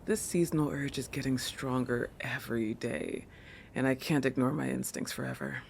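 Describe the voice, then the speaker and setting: A woman's voice: extremely low pitch, fairly even intonation, normal speed, gravelly, a little mellow, slight background noise. A woman voicing a proud, possessive young shark girl in a roleplay monologue, with a haughty, teasing manner that keeps correcting itself. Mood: coy, possessive. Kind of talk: roleplay audio monologue addressed to the listener.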